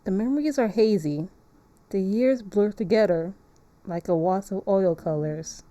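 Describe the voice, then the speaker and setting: A woman reading aloud as a melancholy gothic painter narrating haunted memories in a visual novel, measured and reflective. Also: mid-range voice, warm tone, no room sound, close to an everyday voice.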